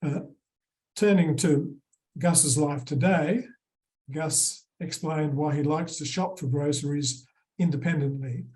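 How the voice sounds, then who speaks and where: A man with an Australian accent giving a measured conference presentation. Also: a little high, darkish tone, poor audio. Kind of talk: formal presentation talk.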